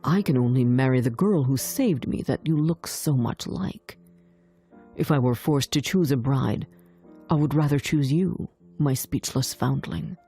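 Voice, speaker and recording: A woman voicing a tender, devoted young prince in a fairy tale, speaking softly and earnestly. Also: rumbling low, unhurried, breathy edge, soft background music, pitched below the performer's own voice, heavily acted. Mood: reassuring. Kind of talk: fairy tale audiobook dialogue.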